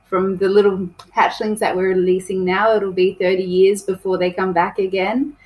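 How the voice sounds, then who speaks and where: A woman speaks as a podcast guest about conservation work, chatty and loose. She pauses often with ums and trips over a few words as she explains. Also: mid-range voice, level tone, a little mellow, poor audio. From New South Wales, Australia. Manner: chatty, with many ums and restarts. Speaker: conservation project coordinator. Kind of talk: casual podcast interview.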